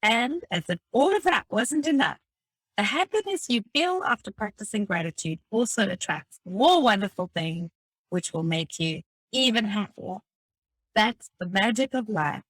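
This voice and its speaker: A woman reading a motivational self-help text aloud in a deliberate, instructive way. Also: mid-range voice, animated, fairly bright tone, dry recording, low-quality recording. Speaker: reader of a self-help book. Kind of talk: scripted read-aloud of a motivational audiobook text.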